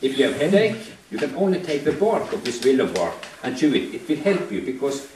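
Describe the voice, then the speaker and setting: A man's voice: mid-range voice, big pitch swings, a little fast, darkish tone. A man whose first language is Sami, explaining things to a tour group in careful non-native English. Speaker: tour guide. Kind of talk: guided tour talk to visitors. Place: indoor venue with tour group. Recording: echoey room from far off with faint noise.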